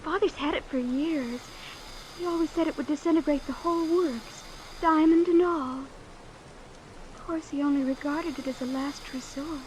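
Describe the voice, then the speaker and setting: An actress plays a naive, pampered young woman in a vintage radio play. Her voice is high and girlish, with a wistful, dreamy manner, over faint broadcast noise. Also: normal speed, a little mellow, lightly acted, rough recording. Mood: fretful. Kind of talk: old-time radio drama acting.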